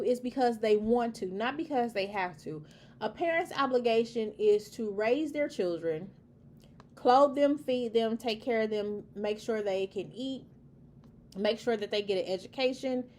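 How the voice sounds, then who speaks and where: A woman giving an opinion monologue, talking emphatically and repeating her points in a preachy, lecturing way. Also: on the high side. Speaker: commentary host.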